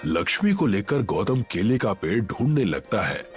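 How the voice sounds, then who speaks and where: A male storyteller narrates a scripted tale with very lively intonation. His mid-pitched voice sits over faint music. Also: moderate pace.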